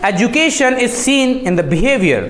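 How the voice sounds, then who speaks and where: A man's voice: very high voice, lively intonation, extremely slow, a bit bright, a little hiss or hum. A man with an Indian accent coaching a class in public speaking, instructive and emphatic, modelling phrases for repetition. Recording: echoey room with people around.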